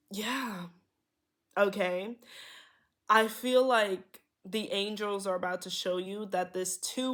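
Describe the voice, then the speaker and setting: A woman's voice: mid-range voice, a bit bright. A woman with a nasal voice, a little under the weather, giving a spiritual card reading to her viewers in a reassuring way, often checking in with "okay?". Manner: reassuring, repeats "okay?" and "here". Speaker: tarot reader.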